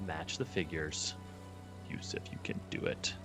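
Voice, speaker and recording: A man's voice: very deep voice, lively intonation, unhurried, creaky, in a whisper, music in the background. A man hosting a game livestream, reading chat and cheering the player on in playful casual talk. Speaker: stream host reading chat.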